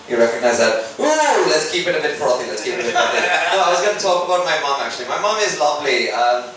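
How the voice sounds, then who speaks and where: A man's voice: on the high side, big pitch swings, quick pace, a bit bright. Echoey self-deprecating male stand-up comedian. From Sri Lanka. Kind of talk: live stand-up comedy routine. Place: indoor live comedy event. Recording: very echoey room, distant mic, background noise.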